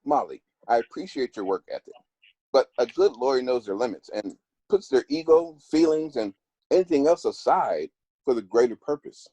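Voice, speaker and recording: A man's voice: medium pitch, expressive, moderate pace, dark tone, no room sound, close to an everyday voice, rough recording. An actor at a table read playing a serious professional man who speaks firmly. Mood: stern, measured. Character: stern male law firm boss, measured and firm; also plays a frustrated long-distance boyfriend.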